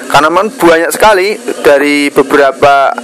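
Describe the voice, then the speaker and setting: Man, Javanese Indonesian accent, slightly high voice, halting delivery, earnest, preachy, addressing viewers. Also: a bit bright, rough recording. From Indonesia, Java. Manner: halting, pausing mid-phrase. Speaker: video blogger.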